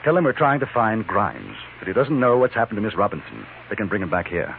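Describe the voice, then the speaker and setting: A low, gravelly gentleman adventurer, formal and restrained but with plenty of movement in the voice. It is 1950s western radio drama with a muffled, old broadcast sound. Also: wildly swooping pitch, quick pace, a little hiss or hum, exaggerated voice. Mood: firm, calm. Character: composed, principled gentleman adventurer, measured and law-minded. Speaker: lead actor and first-person narrator.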